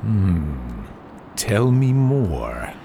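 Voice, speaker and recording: A man's voice: deep voice, very animated, drawn-out words, gravelly, background noise, full character voice. An actor playing a pompous, power-hungry male senator in a radio drama, grandiose and blustering, as if always making a speech. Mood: wary, intrigued. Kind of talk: old-fashioned radio drama acting.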